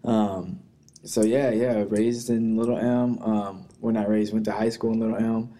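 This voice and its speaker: A man's voice: fairly low pitch, little pitch movement, unhurried, mellow. A young man from Texas, a musician guest on a podcast, talking in a laid-back, rambling way with lots of fillers. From Texas, USA. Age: young adult. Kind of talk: casual conversation.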